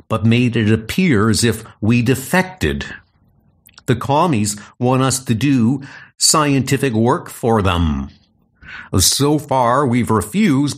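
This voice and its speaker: A man voicing an anxious male scientist held prisoner, explaining quickly and nervously. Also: mid-range voice. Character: worried male kidnapped scientist.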